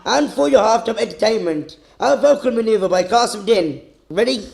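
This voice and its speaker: A man's voice: sits high, expressive, normal speed, creaky, a little mellow, echoey room. A man announcing acts in a show presenter style.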